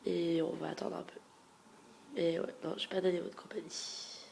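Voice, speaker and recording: A young woman with a heavy cold talks through a casual question video in a nasal, congested voice. Her tone sits low and rough with fry, and she often drops into quiet murmurs. A faint hiss runs under the recording. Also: fairly even intonation, normal speed, poor audio. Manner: chatty, frank, trailing off into soft murmurs. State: stuffy head cold. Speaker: beauty vlogger.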